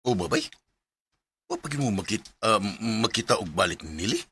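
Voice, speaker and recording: A man's voice: on the low side, very animated, brisk, creaky, a bit bright, faint music behind, heavily acted. A Filipino man with a Cebuano accent acting a teasing, pushy best buddy who banters and nags in a Bisaya radio drama. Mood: skeptical.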